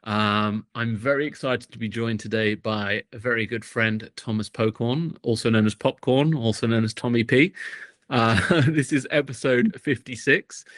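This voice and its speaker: A man's voice: medium pitch, normal speed, a bit bright, no echo, clean studio sound. A man hosting an informal talk series, introducing and chatting with a guest.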